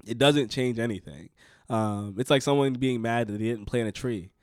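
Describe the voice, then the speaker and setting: A man's voice: mid-range voice, normal speed, darkish tone, dry recording. A man in his late twenties chats as a podcast co-host, leaning on his points with earnest repetition like a motivational coach. His voice sits in an ordinary middle range and is recorded close and dry, with no room sound. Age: 20s. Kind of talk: casual conversation.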